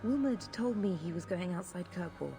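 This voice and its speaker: An actress playing a dutiful young Templar recruit, cautious and earnest, in a fantasy video game. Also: female voice, on the low side, lively intonation, normal speed, vocal fry, big-room sound, music in the background.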